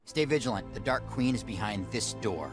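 A man's voice: on the low side, lively intonation, moderate pace, over music, lightly acted. A man voicing a sensible, sceptical cartoon toad leader with a dry, exasperated manner. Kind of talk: animated comedy voice acting.

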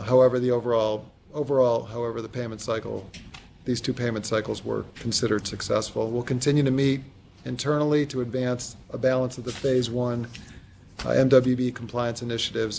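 Businesslike American man reporting, some background noise. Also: medium pitch. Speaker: construction executive reporting to a board. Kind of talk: formal status report at a board meeting. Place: large conference room with long table. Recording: clear background noise and clicks.

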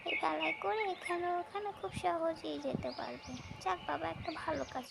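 Squeaky, creaky ghost woman. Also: moderate pace, heavy background noise, exaggerated voice. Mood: pleased, caring. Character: kind-hearted female shakchunni ghost watching over villagers. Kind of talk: Bengali cartoon character voice acting.

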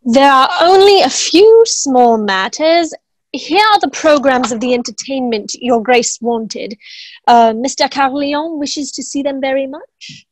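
A young woman playing a prim, patient, dutiful secretary with formal, measured delivery in a play reading. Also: high-pitched, lively intonation, no echo, rough recording. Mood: businesslike. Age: young adult. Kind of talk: staged play reading over video call. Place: room at home, video call. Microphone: wired earphone microphone.

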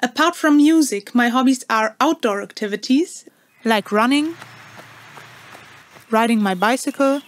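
A woman's voice: a little high, unhurried, long pauses, a little hiss or hum. A woman in her twenties from Germany, a violinist, introducing herself to camera at home. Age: 20s. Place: small room at home.

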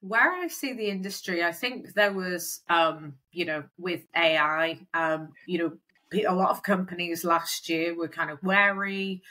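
A woman's voice: on the low side, unhurried, bright, forceful tone. A woman, a recruitment company founder, chatting as a guest in an interview, with a lot of "kind of" and "um". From Wales. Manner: chatty, lots of fillers like "kind of" and "um". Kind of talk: conversational interview.

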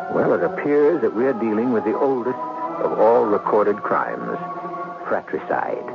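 Man, dramatic radio narrator, measured and grave, expressive pitch swings, music behind. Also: creaky. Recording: muffled 1970s radio broadcast, music behind.

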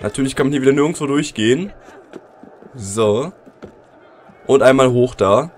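A man talks his viewers through a game from his computer at home, his voice worn by a cold and a sore throat. His pitch sits in an ordinary middle range, and he chats along easily as he plays. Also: normal speed, darkish tone, slight background noise. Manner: chatty, addresses viewers directly. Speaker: let's play commentator. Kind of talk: casual gameplay commentary.

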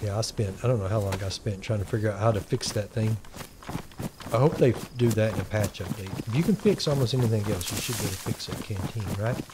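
A man with a Texan accent giving relaxed, rambling commentary and thinking aloud while he plays a survival game. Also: fairly low pitch, fast, background noise. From Texas. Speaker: gaming channel host. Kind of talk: casual gameplay commentary.

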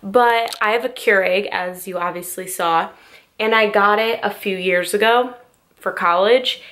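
A woman's voice: mid-range voice, a bit bright. Chatty young woman with a stuffy nose. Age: 20s. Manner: chatty, rambling, lots of "like" fillers. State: nasal from a cold. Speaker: vlogger. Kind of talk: casual vlog chat to camera. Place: small bedroom, indoors. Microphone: phone or camera at arm's length.

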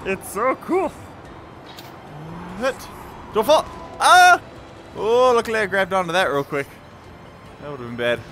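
High-pitched, animated man gaming. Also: unhurried, pauses often, slight background noise. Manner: exclamatory, short self-directed bursts. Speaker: gamer playing and commenting. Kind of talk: excited live gameplay commentary. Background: game music.

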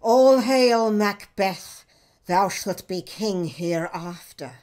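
Woman as a slow, chanting witch. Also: medium pitch, a little mellow, natural voice. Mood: ominous hailing. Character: ominous female witch chanting prophecies. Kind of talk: classical radio drama acting.

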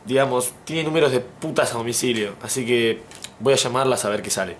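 A young man with an Argentine accent speaking casually and haltingly, as if on a prank phone call. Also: medium pitch, a bit bright, slight background noise. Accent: Argentine Spanish. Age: young adult. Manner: hesitant, halting, polite. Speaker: prank caller, YouTube host. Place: small indoor room at home.

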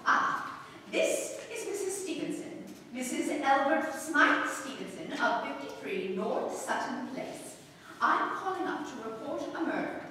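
Echoey, high-voiced, imperious anxious woman. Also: very animated, a little slow, pushed and bright, heavily acted. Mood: flustered. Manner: indignant, flustered, stammering, demanding. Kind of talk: old-time radio thriller acting on stage. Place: stage of a small hall, before an audience. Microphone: far camera. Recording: echoey hall from far off, faint noise.

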